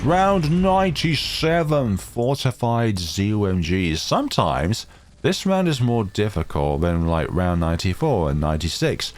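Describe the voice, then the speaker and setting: A young man chatting casually over his gameplay in a rambling, self-deprecating way, his voice still deeper than usual as he recovers from a chest infection, recorded on a home computer microphone. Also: a little hiss or hum. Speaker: gaming channel host.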